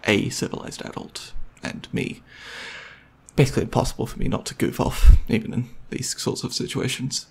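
Man acting a husky, goofy, caring boyfriend. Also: medium pitch. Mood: playful. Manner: hesitant, rambling, playful. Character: goofy, caring, slightly nervous boyfriend on a movie date. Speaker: ASMR roleplay performer. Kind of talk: ASMR roleplay, casual intimate chat to the listener.

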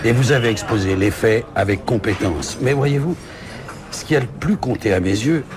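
A man's voice: fairly low pitch, moderate pace, close to an everyday voice. A man playing a self-satisfied, middle-aged manager in a radio drama, speaking in pompous, sententious phrases. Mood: approving, pompous. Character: self-satisfied, cynical senior manager dispensing advice. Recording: loud crowded bar chatter.

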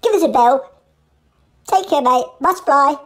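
Woman, matey and rambling, profane comic monologue, lively pitch. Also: a little slow. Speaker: comedic caller. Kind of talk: comic voice message.

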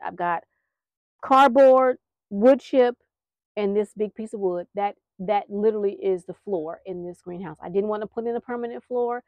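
A woman's voice: mid-range voice, a little mellow, dry recording. An American woman giving a casual, rambling vlog update, chatty, folksy and a little apologetic, talking as she films around her backyard garden. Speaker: gardening vlogger, host.

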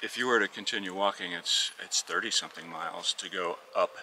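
A man's voice: sits low, fairly quick, background noise. A man talking casually outdoors while walking, explaining hiking details at length. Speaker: viewer joining the walk. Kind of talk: casual conversation. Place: outdoors on a street at dawn, walking.

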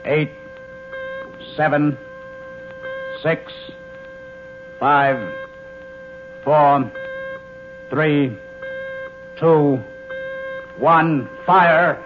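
An actor in a 1950s radio drama playing Doctor Bronson, a male scientist in charge, speaking in crisp, commanding tones. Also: on the high side, steady tone, drawn-out words, long pauses, music in the background. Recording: tinny old radio broadcast with hiss.

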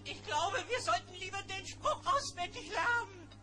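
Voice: very high-pitched, moderate pace, music in the background. A performer playing a fussy, fretful old male bear shrunk tiny in a German children's audio play, hesitant and worried.

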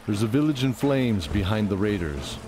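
A male narrator with a very low voice tells a fantasy tale over music. His delivery is scripted, measured and dramatic. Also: animated.

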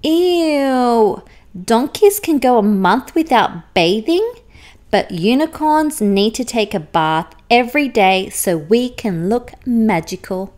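A vain and prim female unicorn in a children's storybook, played by a woman. She speaks high, with animated swings of pitch and a dramatic, easily exasperated air. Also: slow. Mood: disgusted. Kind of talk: children's picture book read-aloud.

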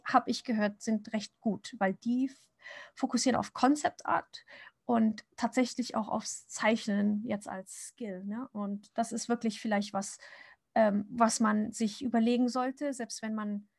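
A woman in her thirties from Japan chats casually through a drawing-stream Q&A, her voice sitting a little high. She rambles with fillers and restarts. The sound is dry and close, from a desk microphone. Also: no room sound. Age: 30s. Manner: chatty, many fillers and restarts, frequent laughs. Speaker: comic artist answering viewer questions. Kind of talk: casual livestream Q&A while drawing.

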